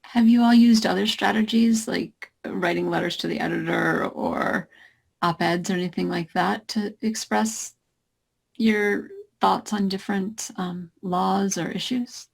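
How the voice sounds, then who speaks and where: A woman moderating a panel, asking the guests questions in a measured, inquisitive way. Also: mid-range voice, steady tone, vocal fry, slightly rough.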